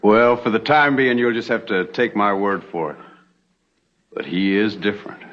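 A young actor playing a polite, smooth-talking stranger in an Old West TV drama, on a muffled vintage soundtrack. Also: male voice, on the low side, normal speed, a little hiss or hum, close to an everyday voice. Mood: polite, coy. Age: young adult.

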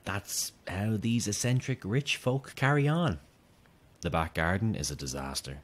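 An actor playing an eager, chummy, subtly creepy young fan in a radio drama, with an upbeat, coaxing manner. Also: male voice, lowish voice, expressive, unhurried. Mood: confiding, upbeat. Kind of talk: naturalistic radio drama acting.

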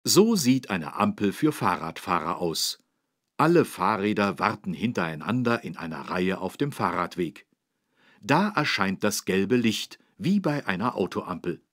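A low, husky-voiced man as a friendly teacher in a children's learning game. His scripted narration is patient and encouraging, with very lively intonation. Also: measured pace, no room sound, studio quality, exaggerated voice. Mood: instructive. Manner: encouraging, clearly didactic. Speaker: instructor and narrator.

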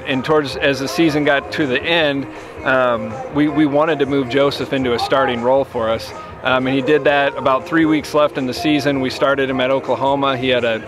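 A man in his thirties, a head baseball coach, giving a postgame interview outdoors at a ballfield at night, measured and full of you-knows. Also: medium pitch.

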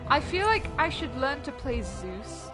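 A woman's voice: sits high, sing-song, measured pace. A young woman chatting casually while playing a video game, bubbly and giggly, with frequent swearing. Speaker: co-player on a gaming stream. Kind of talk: casual gaming chat. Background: game music.

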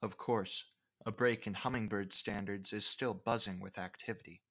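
A man reading scripted educational nature narration in a measured, formal way. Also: fairly low pitch, fairly even intonation, pushed and bright.

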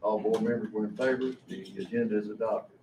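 A man's voice: fairly low pitch, fairly even intonation, fairly quick. An older man chairing a formal board meeting, speaking in procedural phrases in a large indoor room. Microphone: distant room microphone. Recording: dull, roomy, distant mic, faint noise.

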